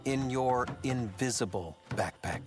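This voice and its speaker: An actor playing a learned, solemn mentor in an animated comedy game, with a deadpan, grandiose manner. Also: male voice, mid-range voice, measured pace, over music, natural voice. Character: learned, solemn, dry mentor explaining ancient relics.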